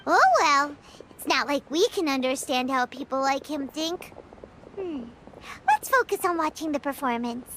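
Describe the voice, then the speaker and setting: A woman voicing a small, chatty, childlike fairy companion in a video game, chirpy and opinionated, with a squeaky voice. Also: normal speed, noisy background, close to an everyday voice. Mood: pondering.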